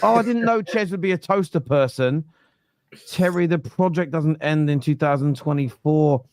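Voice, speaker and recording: A young man with a Cockney accent hosting a football fan podcast, teasing and animated, often talking over the others. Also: a little high, moderate pace, dry recording. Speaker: podcast host. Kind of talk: heated football fan debate.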